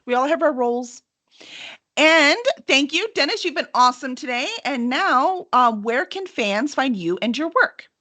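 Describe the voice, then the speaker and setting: A woman's voice: sits high, animated, moderate pace, bright, forceful tone. A middle-aged woman hosting a podcast in casual interview chat, talkative and encouraging. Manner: chatty, encouraging. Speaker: podcast host.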